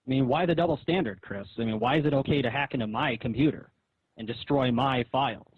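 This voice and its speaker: A man arguing on a phone call in a halting, repetitive, reproachful way, as a comic artist. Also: medium pitch, breathy edge. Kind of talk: argumentative phone conversation. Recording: dull roomy phone call recording.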